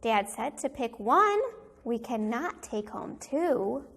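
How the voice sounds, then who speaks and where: A woman reads a picture book aloud as a kindergarten teacher would. Her voice sits high, and she takes her time over each phrase. The delivery is animated storytelling aimed at young children. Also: slow pace, gravelly.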